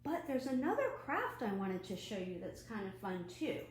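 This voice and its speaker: A woman leading an online children's storytime and craft from home, animated and putting questions to young viewers. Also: mid-range voice, normal speed. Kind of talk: children's storytime read-aloud and craft demonstration. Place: at home, indoors. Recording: roomy echo, faint background noise.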